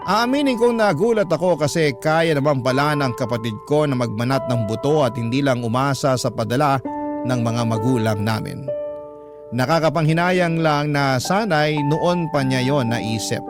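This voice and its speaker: A Filipino man with a measured radio storytelling style, reading a dramatic personal letter as narration. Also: mid-range voice. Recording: music bed under the voice. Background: background music.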